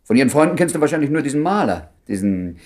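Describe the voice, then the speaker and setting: An actor playing a concerned, probing middle-aged doctor and old friend, gently teasing, in a German radio play. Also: male voice, medium pitch, big pitch swings, fast talker. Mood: probing, hesitant.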